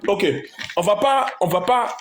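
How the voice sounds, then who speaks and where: A man's voice: high voice, measured pace, slight background noise. A man hosting a live talk show, theatrical and insistent, repeating his questions over and over.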